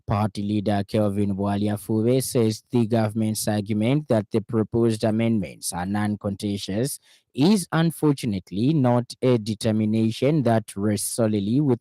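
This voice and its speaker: A man with a Zambian accent anchors a radio news bulletin. His voice is low and dark, with a relaxed tone and very little room sound. He reads the script formally, in measured announcer phrasing. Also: dry recording.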